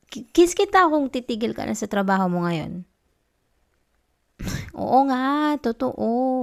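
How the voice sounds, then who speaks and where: Woman acting slow, mellow, needy Filipino wife. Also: mid-range voice. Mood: teasing, coaxing. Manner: soft, coaxing, pouty, slipping into whispers. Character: needy, affectionate wife, sulky yet tender and teasing. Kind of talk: intimate ASMR girlfriend-style roleplay.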